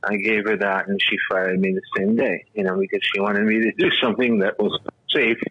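A man's voice: lowish voice, fairly even intonation, a little fast, slightly rough, no room sound. A man in his sixties, a radio talk show host, telling stories in a rambling, casual way full of fillers and restarts. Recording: dull, lo-fi radio audio.

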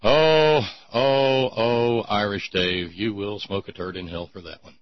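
A man's voice: on the low side, steady tone, a little slow, dry recording, dull audio. A man hosting an irreverent talk show, reading listener notes aloud with a mocking, sardonic edge.

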